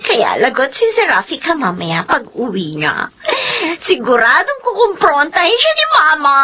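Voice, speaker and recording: An actress playing a sly, giggly young daughter in a Filipino radio drama, teasing and mock-innocent. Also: female voice, sits high, wildly swooping pitch, unhurried, heavily acted. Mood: gleeful, scheming. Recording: dull lo-fi radio sound.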